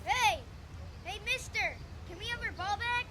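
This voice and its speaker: A woman's voice: very high-pitched, a little slow, bright, forceful tone, full character voice. A woman playing an eager, polite young boy in a radio drama, casual and childlike. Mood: eager, admiring. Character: eager, polite young boy wanting his ball back.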